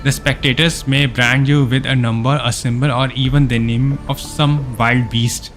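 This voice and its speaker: A man voicing a stern male mentor from a fantasy game, reading the part aloud in a solemn, deliberate way. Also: mid-range voice, fairly even intonation, fairly bright tone, soft background music, lightly acted. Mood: solemn, challenging. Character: stern male arena mentor challenging a young fighter.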